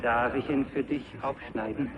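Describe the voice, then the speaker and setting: A man's voice: medium pitch, fairly even intonation, normal speed, phone-quality sound, close to an everyday voice. An actor playing a sadistic German henchman in a video game, menacing and savouring his words. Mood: doting. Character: sadistic, doting German henchman, a man, sinister. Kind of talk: video game cutscene acting. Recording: loud noise behind the voice.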